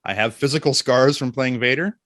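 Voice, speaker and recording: Middle aged guy being interviewed, chatty and jokey w stories, normal pitch, dry recording. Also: fairly quick, fairly bright tone, no echo. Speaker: interview guest, actor. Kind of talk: casual interview storytelling.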